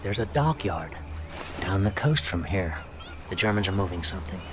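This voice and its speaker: Game supporting role: genial American wartime handler, lowish pitch, down-home phrasing, noisy ambience. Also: male voice, expressive, normal speed. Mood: affable, briefing. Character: folksy, affable American wartime intelligence officer. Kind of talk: cinematic military game acting.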